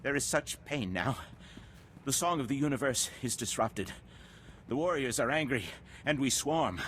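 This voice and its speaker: A man's voice: medium pitch, animated, moderate pace, fairly bright tone, slight background noise, natural voice. Man acting a swooping, anguished hive-mind captive. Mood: pained, agitated. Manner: eerie, speaks of himself as a collective. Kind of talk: video game character acting.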